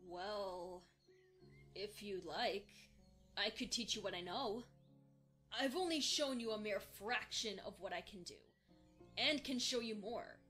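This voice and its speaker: A woman's voice: mid-range voice, unhurried, long pauses, sharp tone, soft background music. A woman playing a confident, sympathetic young unicorn mare who mentors earnestly in a fan audio drama. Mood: encouraging. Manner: earnest, assured, consoling.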